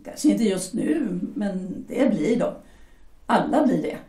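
A woman's voice: medium pitch, expressive, warm tone, far from the mic, close to an everyday voice. A woman playing a pragmatic young German mother who insistently coaxes her husband. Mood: persuasive. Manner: coaxing, insistent, brushes off doubts. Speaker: shipyard worker's wife. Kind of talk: amateur audio drama acting. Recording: echoey room, voice set back from mic.

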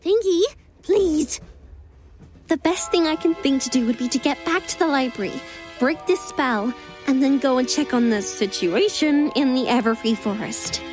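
A woman playing a sensible, weary young female pony leader in a fan cartoon, measured and earnest. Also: high voice, music in the background, lightly acted. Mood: weary, practical.

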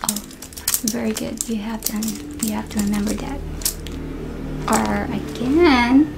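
A young woman giving commanding ASMR roleplay instructions, soft-spoken and often slipping into whispers. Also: fairly high pitch, measured pace, creaky, background noise. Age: young adult. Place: small indoor room.

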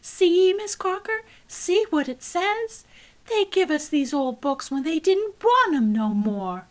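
A woman voicing a bold nine-year-old girl who pleads urgently in a high voice. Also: expressive, slow, exaggerated voice. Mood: pleading.

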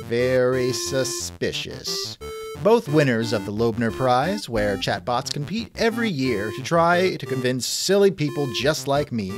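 A man hosting a scripted comedy interview show in a theatrical, wisecracking manner, close to the mic. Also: medium pitch. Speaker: podcast host and interviewer.

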